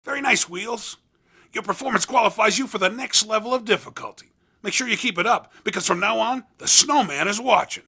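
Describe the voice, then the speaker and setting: A man playing an upbeat young hoverboard race promoter in a video game, talking in slangy, cocky sales patter with a raspy edge. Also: sits high, a little fast, bright, forceful tone, full character voice. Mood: friendly, hustling. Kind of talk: scripted video game character dialogue.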